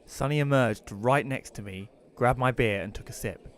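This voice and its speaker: A man plays a reclusive, slightly awkward widower in an audio drama. He tells the story in the first person at an ordinary male pitch. The delivery is informal and conversational. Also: moderate pace, fairly bright tone, close to an everyday voice. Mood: reflective narration.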